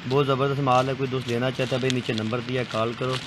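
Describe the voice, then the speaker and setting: A man's voice: mid-range voice, little pitch movement, fast talker. Young guy selling used clothes on a phone vid, keeps saying look and call, faint hum behind. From Pakistan. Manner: repetitive salesman patter, urging viewers to look and call. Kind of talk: casual sales vlog. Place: small indoor shop full of clothing bundles.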